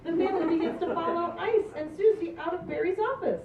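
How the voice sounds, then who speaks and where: A woman's voice: very high-pitched, fast. Distant woman reading stage directions. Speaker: reader of stage directions at a table read. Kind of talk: reading script directions aloud. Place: room at a group table read. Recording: roomy, distant, dull sound with noise.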